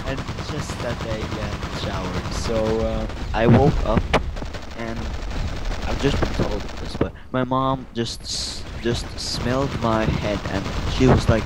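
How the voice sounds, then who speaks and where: A young man telling stories in a casual, halting, giggly way with lots of informal slang. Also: mid-range voice. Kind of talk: casual chat, storytelling. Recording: very noisy amateur mic, clicks. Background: loud game gunfire.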